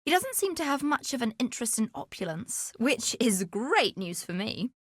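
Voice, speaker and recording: An actress playing a sly, greedy, flamboyant treasure hunter in a video game, with a wry, self-assured, theatrical delivery. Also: female voice, on the high side, very animated, moderate pace, husky. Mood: wry, scheming. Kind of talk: video game character dialogue.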